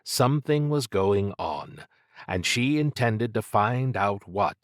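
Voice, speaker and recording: A man's voice: fairly low pitch, lively intonation, slow pace, dry recording, studio quality. A man narrating scripted fiction as an audiobook reader.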